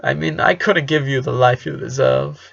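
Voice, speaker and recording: A man's voice: mid-range voice, moderate pace, creaky, fairly bright tone. A man voicing an emotional, pained father who pleads and breaks into a strained, cracking voice. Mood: tearful. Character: emotional working-class father, middle-aged man. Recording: dull lo-fi recording.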